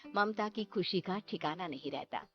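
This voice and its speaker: A woman's voice: fairly low pitch, moderate pace, highs cut off. A woman narrating a scripted horror story as the storyteller.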